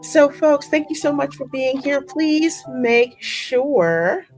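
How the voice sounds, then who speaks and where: A woman's voice: high-pitched, lively intonation, takes it slowly, darkish tone. A woman hosting a gaming channel, chatting casually and effusively, repeating words for emphasis. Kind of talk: casual gaming commentary and chat. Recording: music playing behind the voice. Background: music.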